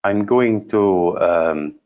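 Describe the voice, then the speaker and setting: A man giving an unscripted software demonstration as a trainer, explaining step by step and thinking aloud in a halting way. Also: sits low, dull audio. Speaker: trainer presenting a product course.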